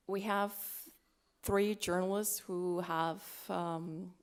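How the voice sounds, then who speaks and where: A woman from Bulgaria moderating a live panel, introducing it to an audience in a hesitant, halting way with many ums. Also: lowish voice, vocal fry, a little mellow, smooth.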